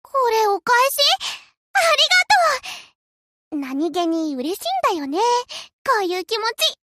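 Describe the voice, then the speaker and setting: A woman voicing a cheerful, grateful young warship girl in expressive Japanese anime game lines. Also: very high voice, a little slow, slight background noise, exaggerated voice. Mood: brash, pleased. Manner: expressive, exclamatory.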